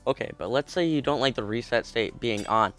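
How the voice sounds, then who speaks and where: A man's voice: mid-range voice, a little fast, a bit bright, soft background music. A young man with a cold, casually walking through a step-by-step tutorial in a chatty, informal way. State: has a cold. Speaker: video creator explaining a game build.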